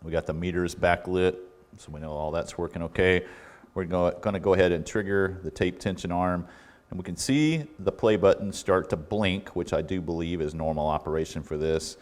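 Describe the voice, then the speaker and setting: A middle-aged American man giving a casual, hands-on repair walkthrough in a folksy, unhurried way with halting pauses. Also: deep voice. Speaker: electronics repair technician and video host. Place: indoor workbench.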